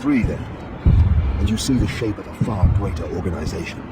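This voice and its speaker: Low male voice, shrewd composed inquisitor, game cutscene, measured, authoritative, heavy background noise. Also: lively intonation. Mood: serious, probing. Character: composed, commanding adult inquisitor, shrewd and serious. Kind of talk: dramatic science-fiction game cutscene acting.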